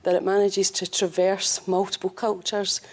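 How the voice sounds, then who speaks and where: Calm, measured woman hospice executive. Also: medium pitch. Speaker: hospice chief executive guest. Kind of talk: interview answers.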